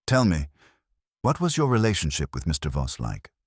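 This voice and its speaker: A wry, persistent male private eye in a detective story, read by a man. The voice is very deep and unruffled, probing with lively inflection in a dry recording. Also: normal speed, husky, dry recording, full character voice. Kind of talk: detective audiobook character dialogue.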